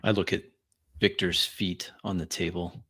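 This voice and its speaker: Guy with a lowish voice saying what his character does in a tabletop game. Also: steady tone, a little slow, pushed and bright, breathy edge, no room sound. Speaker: tabletop roleplayer. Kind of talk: first-person narration of character actions.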